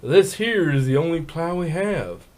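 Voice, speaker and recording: A man's voice: a little high, animated, a little slow, darkish tone, voice pushed down. A man voicing a big, stoic male farm pony from a story, plain-spoken and folksy. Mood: gruff resignation. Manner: laconic, folksy.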